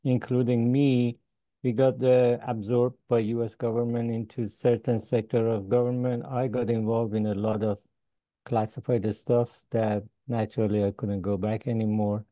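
An older man from Iran with an Iranian accent, a professor answering interview questions over a video call, pausing often with "uh" and chuckling between points. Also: mid-range voice, little pitch movement, warm tone, dry recording. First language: Farsi. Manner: halting, many "uh" pauses, chuckles between points. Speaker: guest professor. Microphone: video call with earbuds.

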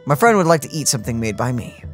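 A woman's voice: very deep voice, big pitch swings, fast talker, soft background music. A woman co-hosting a let's play, making casual comments and reading along with the game. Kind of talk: casual gameplay reaction chat. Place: indoor room, game audio behind.

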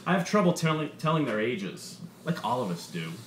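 A man voicing a brash male stand-up comedian with thinning hair who swears, mocks and acts incredulous. The comedian talks louder and with more force than the narrator. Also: mid-range voice, a little fast, natural voice. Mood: indignant. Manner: loud, animated, incredulous, swearing. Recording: roomy, faint background noise.

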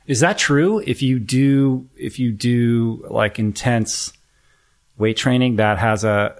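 A man's voice: medium pitch, takes it slowly. A man in his fifties hosting a podcast, asking a guest short, curious follow-up questions into a studio mic while wearing headphones. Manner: curious, brief probing questions. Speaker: podcast host. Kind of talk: interviewing a guest. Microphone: over-ear headphones, boom-arm studio mic.

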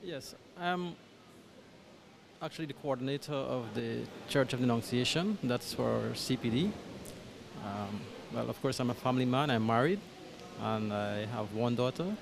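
A man's voice: mid-range voice, animated, normal speed, vocal fry. Hesitant Guyanese man, church crowd behind. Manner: hesitant, many ums and restarts. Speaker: parish coordinator. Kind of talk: interview answers. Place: small church hall, indoors. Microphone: handheld mic held by interviewer.

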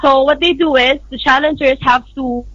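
A woman's voice: high voice, steady tone, moderate pace, fairly bright tone, dry recording. A young woman from the Philippines with a Filipino accent, explaining things as an interview guest. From Manila, Philippines. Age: young adult. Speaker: guest, youth activist. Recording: dull, lo-fi remote connection.

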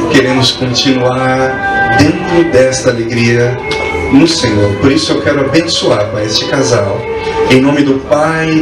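A man officiating a wedding, preaching with emphatic, rising build-ups into a handheld mic. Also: medium pitch. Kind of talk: wedding sermon. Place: large wedding tent, partly open. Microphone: handheld mic, recorded by far camera. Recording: echoey, distant, music behind.

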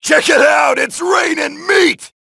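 An actor playing a brash, wisecracking male soldier who shouts taunts and one-liners while killing zombies in a video game, with a gravelly voice. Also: very high voice, slow pace, no echo, full character voice. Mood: taunting. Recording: dry studio voice lines.

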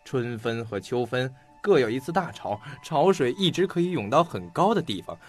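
An actor playing a shrewd, talkative, self-assured detective in an audio mystery drama, laying out a case in a theatrical storytelling manner. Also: male voice, medium pitch, very animated, normal speed, husky, faint music behind. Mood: curious, teasing.

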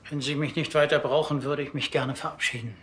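An actor playing a formal, businesslike undertaker with a correct manner in a TV crime comedy. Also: male voice, a little high, steady tone, fairly quick, fairly bright tone, lightly acted.

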